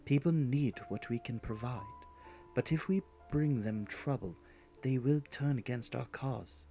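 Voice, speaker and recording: A man's voice: medium pitch, unhurried, mellow, soft background music, natural voice. A man playing a cautious, dryly exasperated inventor father in an audio drama. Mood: serious, cautioning.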